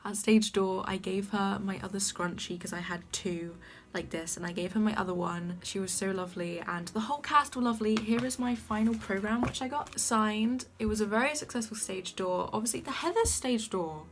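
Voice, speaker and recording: A young woman with a soft voice, gushing enthusiastically about a show she loved in a casual vlog. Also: medium pitch, brisk. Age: young adult. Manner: gushing, enthusiastic fan talk. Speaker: vlogger. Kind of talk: casual vlog chat to camera. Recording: faint background music.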